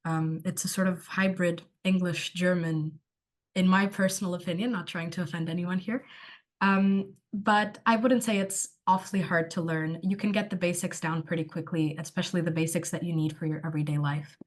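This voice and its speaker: A woman's voice: lowish voice, normal speed. A female student whose first language is Portuguese, answering questions casually on a video call and sharing her own opinions. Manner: relaxed, offers personal opinions.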